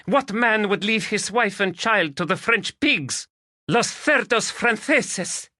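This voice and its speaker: A man's voice: high voice, expressive, slow, sharp tone, no room sound, studio quality, voice pushed up, exaggerated voice. A man voicing a fiery Spanish noblewoman. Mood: furious. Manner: emotional, clipped, slightly broken English. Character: proud fiery Spanish noblewoman, young adult. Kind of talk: audiobook character voice.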